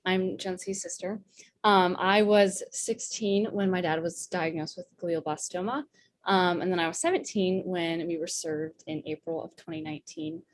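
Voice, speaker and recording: A nineteen-year-old woman introducing herself as a panel guest, soft and hesitant. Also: on the low side, lively intonation, normal speed, dry recording. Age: young adult.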